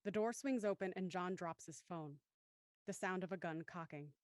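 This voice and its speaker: A woman narrator reading scripted audio drama stage directions in a measured, descriptive manner. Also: on the low side, a little mellow, dry recording, studio quality.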